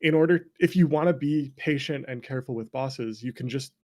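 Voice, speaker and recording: A man's voice: mid-range voice, brisk, a little mellow. A man in a casual, opinionated chat about video games, rambling and swearing freely as an interview guest. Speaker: interview guest, game developer.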